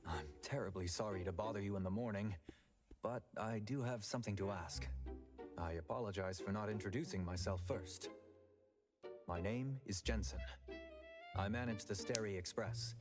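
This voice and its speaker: A smooth-mannered male manager from a video game, acted by a man, speaking rather low. He is formal, polite and flattering as he negotiates. Music plays clearly behind him. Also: normal speed, natural voice. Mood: courteous, persuasive. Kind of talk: scripted video game dialogue.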